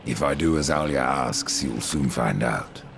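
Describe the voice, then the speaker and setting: A man playing a grim, imperious horseman of the apocalypse in a dark fantasy game, curt and menacing, with a gravelly voice. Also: very deep voice, a little slow, noisy background. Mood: dry.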